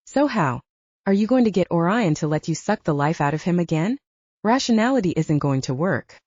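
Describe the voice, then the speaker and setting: A woman's voice: lowish voice, expressive, brisk, darkish tone, no room sound, lo-fi sound. A woman voicing a blunt, hungry male werewolf butcher who speaks matter-of-factly.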